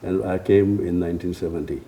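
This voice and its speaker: An elderly man from India, a native Urdu speaker, recounting his life in a halting, meandering way as an interview guest. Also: sits low, fairly even intonation, mellow. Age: 70s or older. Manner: halting, meandering storytelling with hesitant pauses. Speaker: interview guest, retired police officer. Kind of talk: casual reminiscing interview.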